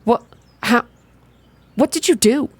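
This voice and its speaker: A woman voicing a curious, tender young heroine in a story game, soft and questioning. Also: a little high, slow, husky, no room sound, voice pushed up. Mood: curious, wondering.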